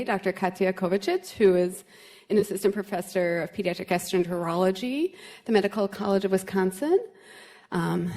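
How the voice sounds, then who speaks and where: A woman giving a formal introduction to a grand rounds speaker, reading prepared remarks with soft fillers in a lecture room. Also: mid-range voice.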